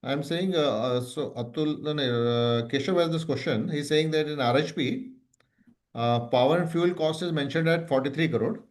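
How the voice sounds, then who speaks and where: A man with an Indian accent moderating an earnings call, relaying investor questions in halting phrases. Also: on the high side. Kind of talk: business question-and-answer call.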